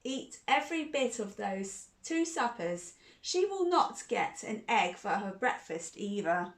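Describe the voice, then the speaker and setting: A woman's voice: medium pitch, sing-song, measured pace, roomy sound. A woman voicing a cross, grumbling father in a storybook, stern and scolding. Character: cross, grumbling father of a family, Mister Thomas.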